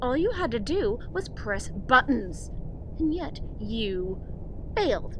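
A woman's voice: fairly high pitch, sing-song, takes it slowly, noisy background. A woman playing a scheming young student in an audio drama, sugary and coaxing one moment and snide the next. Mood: spiteful. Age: young adult. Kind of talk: acted audio drama dialogue.

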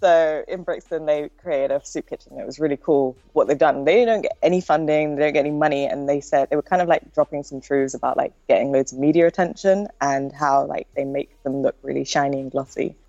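A woman's voice: sits low, steady tone, brisk, hardly any pauses, mellow, no room sound, dull audio. A young woman with a nasal voice, chatting casually as a podcast guest and filling her talk with likes and ums. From Britain. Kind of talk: casual interview chat.

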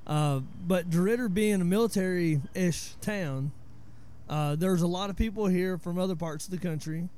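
A man in his forties with a Southern US accent talks in a relaxed, storytelling way. His voice sits a little high but sounds warm and dark. He explains where local words came from. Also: moderate pace, smooth. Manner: laid-back storyteller, explains word origins. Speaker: podcast host. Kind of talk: casual chat.

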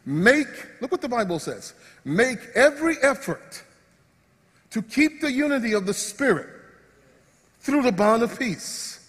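A man preaching a lively sermon, acting out everyday scenes in voices. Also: very high voice, a little slow, long pauses, long reverb tail. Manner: animated, acts out body parts in comic voices. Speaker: pastor. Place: church sanctuary.

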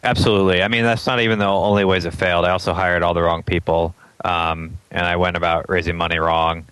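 A man with a very low, smooth, resonant voice talks as a guest in a casual interview. He is from Atlanta and thinks aloud with plenty of ums and easy chuckles. The sound is dry and close. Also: fast, no room sound, poor audio. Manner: relaxed, thinks aloud with many ums, laughs often. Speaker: interview guest, startup founder.

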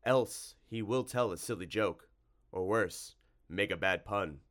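A man's voice: lowish voice, unhurried, fairly bright tone. A man voicing a stern, earnest monster-hunting hero in a storybook, grave and commanding. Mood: grave warning.

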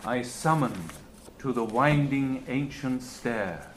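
A man speaking quietly in unhurried replies and a slow, deliberate reading. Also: medium pitch, slight background noise.

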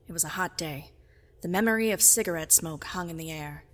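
An actress playing a private detective who narrates in a deadpan film noir style. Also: female voice, fairly low pitch, brisk, natural voice. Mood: wry. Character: hard-boiled private eye narrator, wry and dry.

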